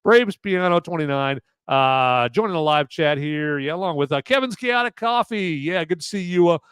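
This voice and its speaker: A man hosting a live movie review podcast, with a loud voice that carries, who rambles casually with lots of fillers and restarts. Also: a little high, lively intonation, normal speed, clear, resonant tone, dry recording, clean studio sound.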